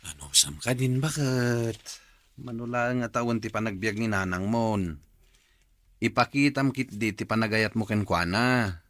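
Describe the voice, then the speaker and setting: An actor playing a concerned husband who questions and advises, with an Ilocano accent, in a radio drama. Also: male voice, lowish voice, measured pace, fairly bright tone, dry recording. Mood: advising.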